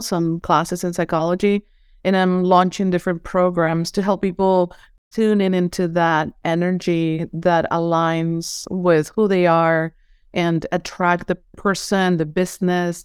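A woman's voice: lowish voice, a little mellow, clean tone, no room sound, studio quality. A middle-aged woman from Venezuela with a Venezuelan accent, a holistic coach and former psychologist, speaking earnestly and effusively as a podcast guest. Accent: Venezuelan Spanish. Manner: earnest, effusive, repeats words at starts. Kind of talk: podcast interview.

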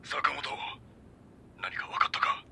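An actor playing a demanding magazine editor in an audio drama, brusque and commanding, with a breathy voice. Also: male voice, a little slow, bright, forceful tone. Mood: impatient. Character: gruff, demanding male magazine editor boss. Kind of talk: Japanese audio drama dialogue.

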